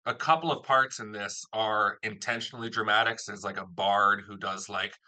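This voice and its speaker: Very bright, sharp-voiced male interviewer. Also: fairly low pitch, steady tone, normal speed. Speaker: interviewer, audio drama producer. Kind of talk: casual interview chat.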